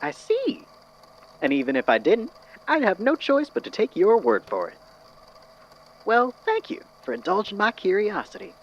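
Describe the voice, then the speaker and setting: An actor playing a curious, wistful character in an audio drama, speaking in measured, formal phrases. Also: medium pitch, huge pitch swings, highs cut off. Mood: doubtful, courteous. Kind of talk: fan audio drama acting.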